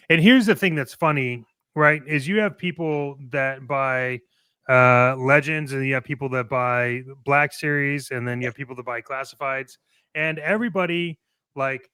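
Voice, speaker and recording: A man with a bright, clear voice holds forth as a casual show co-host. He is blunt and emphatic, circling back on his phrases to press a point, in a close, dry sound. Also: mid-range voice, no echo. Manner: emphatic, repeats phrases, blunt with mild swearing. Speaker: co-host of a toy collecting show. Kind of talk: casual opinionated discussion.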